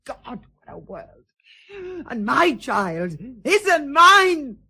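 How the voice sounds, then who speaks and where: A bitter fallen mistress in a radio drama. Her voice is breathy and husky, set a little high, and she speaks slowly and histrionically, with a coarse, mocking tongue. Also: very animated, bright, forceful tone, full character voice. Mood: bitter, wounded.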